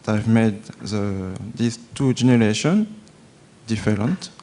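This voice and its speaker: A man in his thirties with a French accent asks his question slowly, stopping often to search for words. His voice sits fairly low. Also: animated, dark tone. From France. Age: 30s. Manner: hesitant, halting, many fillers and restarts. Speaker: audience questioner. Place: temple hall.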